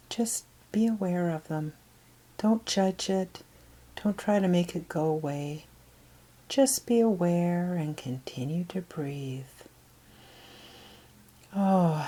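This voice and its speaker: A woman leading a guided meditation in a gentle, soft, breathy voice that often trails toward a whisper, with unhurried pauses. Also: lowish voice. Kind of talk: guided meditation, soothing instruction.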